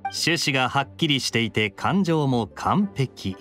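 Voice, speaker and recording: A man's voice: medium pitch, lively intonation, measured pace, a bit bright, faint music behind. A man voicing a suave young knight captain in an anime game, teasing and nonchalant. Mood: amused, teasing. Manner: smooth-talking, nonchalant, wry.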